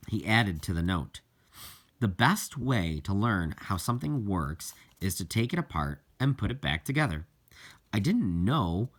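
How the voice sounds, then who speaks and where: A man reading a children's novel aloud as scripted narration, with deliberate, halting phrasing. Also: lowish voice, fairly bright tone. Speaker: audiobook reader.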